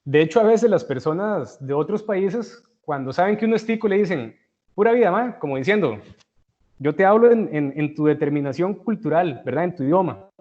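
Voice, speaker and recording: A man's voice: high voice, expressive, brisk, mellow. Costa Rican man teaching, muffled audio. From Costa Rica. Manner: explanatory, asks "¿verdad?" often, gives everyday examples. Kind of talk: online tutoring lesson.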